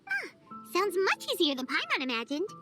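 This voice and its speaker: Tiny childlike game fairy, extremely high pitch, wildly swooping intonation, chirpy, cheerful, self-important. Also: female voice, huge pitch swings, a little fast, loud music behind the voice, exaggerated voice. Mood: curious, businesslike. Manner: speaks of herself in third person, chirpy. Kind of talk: video game voice acting.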